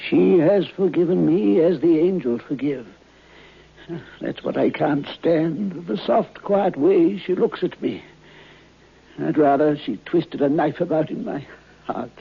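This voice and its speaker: A man playing a weary, guilt-ridden old man in an old-time radio drama, halting and mournful. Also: fairly high pitch, gravelly, slightly rough, phone-quality sound, full character voice. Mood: weary, sorrowful. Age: older. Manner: halting, shuffling, mournful delivery.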